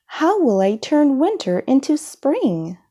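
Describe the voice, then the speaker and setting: An earnest young girl explorer in a storybook, voiced by a woman reader. She sounds determined, with a lifted, lively pitch and careful, unhurried words. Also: full character voice.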